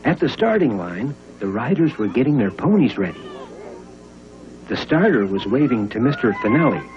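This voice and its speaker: A man's voice: medium pitch, big pitch swings, moderate pace. A man narrating a children's storybook cartoon on a muffled old soundtrack. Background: loud music.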